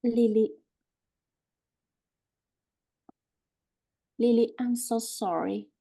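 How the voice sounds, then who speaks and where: A woman playing an anxious, apologetic hospital social worker in a dramatic table read, earnest, deliberate and pleading yet firm. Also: on the high side, gravelly, mellow. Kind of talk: live screenplay table read, dramatic acting.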